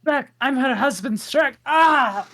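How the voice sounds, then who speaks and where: A big, grumpy male ogre shouts and pleads to be let go, as a woman reads him. The voice sits high and the lines come slowly. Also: lively intonation, heavily acted. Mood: desperate. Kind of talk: live comedic table read.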